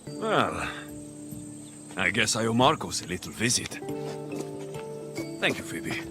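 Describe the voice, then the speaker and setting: A man's voice: on the low side, big pitch swings, slow, over music. A man playing a cocky, wry young mercenary with a Greek accent in a video game. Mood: incredulous. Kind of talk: video game cutscene acting.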